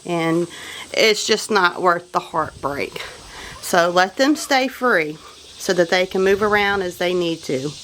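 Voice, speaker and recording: A woman's voice: on the low side, lively intonation, takes it slowly, a bit bright, poor audio. A Southern American woman homesteader, walking around her farm outdoors and giving plain, practical advice in a casual, instructive way. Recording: outdoor farm recording with noise.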